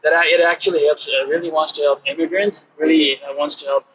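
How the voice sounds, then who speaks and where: A man in his twenties with a Nepali accent gives casual answers as an interview guest. His voice sits a little high for a man. There is faint noise behind him. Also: little pitch movement, fast, a bit bright, muffled recording. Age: 20s. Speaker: interview guest, program alumnus.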